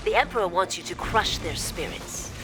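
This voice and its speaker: A woman's voice: lowish voice, expressive, normal speed, lightly acted, poor audio. A woman playing a formal female logistics officer, giving mission briefings in a game. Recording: music playing behind.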